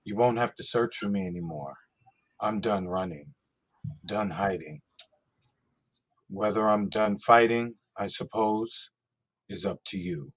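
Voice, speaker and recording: A man playing a calm, resolute hacker hero in a radio play reading, speaking in a measured, earnest way with a husky voice. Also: on the low side. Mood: calm defiance. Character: calm, resolute freed hacker hero giving a defiant message. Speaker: podcast host.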